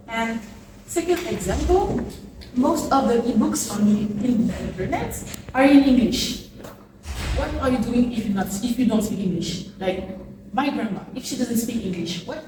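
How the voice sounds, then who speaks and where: Persuasive woman debater, echoey room. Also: on the high side. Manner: persuasive, rhetorical questions. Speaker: student debater. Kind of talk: debate argument. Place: large echoey school hall. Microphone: far room microphone. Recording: echoey distant audio with background noise.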